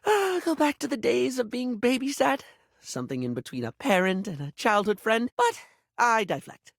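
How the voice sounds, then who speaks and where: A man voicing a flamboyant, scatterbrained makeup character in a video game, effusive and camp, with halting, self-deprecating, apologetic delivery. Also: high voice, creaky, dry recording, clean studio sound, heavily acted. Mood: chatty, playful. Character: flamboyant, scatterbrained, apologetic male makeup object, gushing and insecure.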